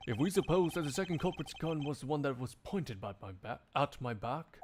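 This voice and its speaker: A man voicing a composed, analytical male prosecutor with a formal, deliberate manner in a game fandub. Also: on the high side, expressive, darkish tone, a little hiss or hum, natural voice. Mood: measured, reasoning.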